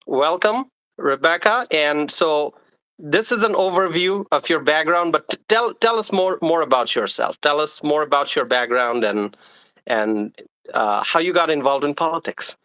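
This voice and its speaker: A man's voice: fairly high pitch, expressive, moderate pace, sharp tone, rough recording. A man hosting a live stream, formally reading out his guest's introduction with small stumbles.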